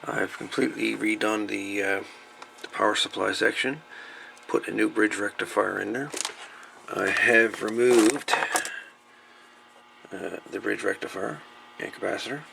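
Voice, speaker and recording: A man giving a casual, unscripted repair update in a folksy, halting manner. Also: fairly low pitch, vocal fry, background noise. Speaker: hobbyist radio repairer presenting own channel.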